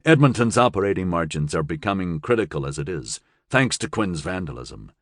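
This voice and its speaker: A man voicing a male AI supervisor with a measured, caustic tone. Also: deep voice, sing-song, a bit bright, dry recording, clean studio sound, pitched below the performer's own voice, heavily acted. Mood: dry. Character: male AI supervisor, dry and sardonic.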